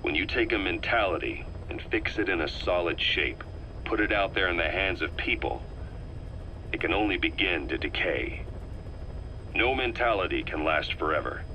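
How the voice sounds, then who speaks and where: Low-voiced, grave intelligence operative. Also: male voice, animated, bright, forceful tone, slight background noise, exaggerated voice, low-quality recording. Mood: somber, fatalistic. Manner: measured, deliberate, grave. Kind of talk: scripted monologue recorded as a secret audio report.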